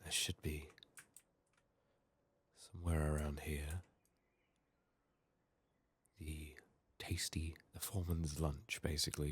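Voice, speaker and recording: A man giving soft-spoken, partly whispered ASMR commentary on a video game, muttering in a hushed, unhurried way over keyboard and mouse clicks. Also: a bass voice, husky. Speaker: video game streamer, host. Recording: faint noise, keyboard typing and mouse clicks.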